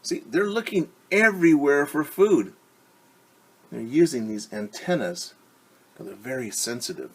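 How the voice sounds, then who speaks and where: A man with a slightly husky voice reads a picture book aloud for young children. He takes his time, pausing to point things out and ask gentle questions. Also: fairly high pitch, expressive.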